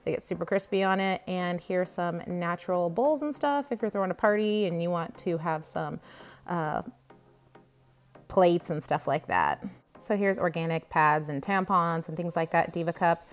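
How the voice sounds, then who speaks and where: A woman's voice: fairly low pitch, moderate pace, clean tone. A woman chatting casually and enthusiastically as she vlogs her shopping, recorded on a handheld phone inside a grocery store. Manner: enthusiastic, gushing over products. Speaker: vlogger giving a grocery haul tour. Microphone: handheld phone behind the camera. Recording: store ambience noise, faint music behind.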